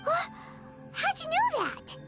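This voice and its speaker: A woman voicing a small childlike fairy companion in an anime-style video game, squeaky and eager. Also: wildly swooping pitch, takes it slowly, exaggerated voice. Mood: surprised. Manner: speaks of herself in third person, eager. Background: game music.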